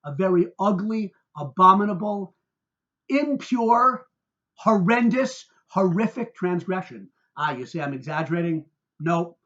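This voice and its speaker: A man's voice: high-pitched, animated, a little slow, fairly bright tone, dull audio. A man speaking as a rabbi in a sermon-like religious talk, emphatic and heated, stacking up adjectives with dramatic stress.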